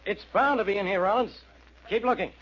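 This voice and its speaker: An actor playing a gruff, exasperated police inspector in 1940s radio style, blunt and commanding. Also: male voice, sits high, fairly quick, noisy background, close to an everyday voice. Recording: dull old radio recording.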